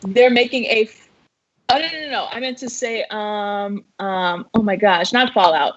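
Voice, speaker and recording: A woman's voice: medium pitch, moderate pace, dry recording. Female co-host, informal pop-culture chat, close dry sound with muffled lo-fi livestream quality. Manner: informal, heavy use of "like". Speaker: co-host of an entertainment news live show. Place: remote video call livestream. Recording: dull lo-fi livestream call audio.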